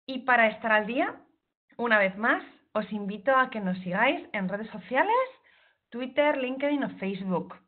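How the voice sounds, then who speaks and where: A woman's voice: on the high side, lively intonation. Woman host over a tinny call line. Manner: partly read introduction, brisk announcements. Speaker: event host. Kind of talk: introducing a webinar speaker. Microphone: video call. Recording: tinny phone-like online call audio.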